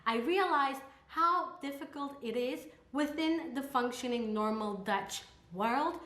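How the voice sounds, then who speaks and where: A woman's voice: high voice, unhurried, fairly bright tone, reverberant. A young woman from Turkey, talking casually and sarcastically to camera as a vlogger. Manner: sarcastic, chatty, upbeat.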